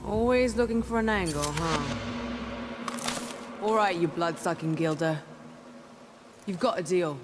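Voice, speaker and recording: A woman's voice: on the low side, a little slow, pauses often, background noise, close to an everyday voice. An actress playing a tough female gang leader in a video game, curt and commanding. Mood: wry. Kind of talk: video game cutscene voice acting. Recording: game audio with music. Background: music.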